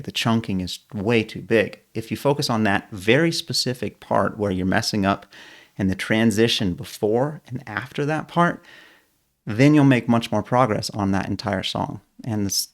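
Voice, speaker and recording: A man's voice: lowish voice, moderate pace. A man who coaches people through learning plateaus, explaining his method step by step in an online lesson, now and then correcting himself mid-sentence.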